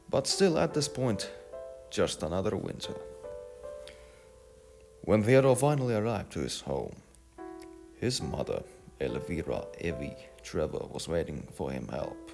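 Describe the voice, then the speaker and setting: A man narrating a scripted story dramatically, in a halting delivery with stumbles and repeated phrases. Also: sits low, creaky. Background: music.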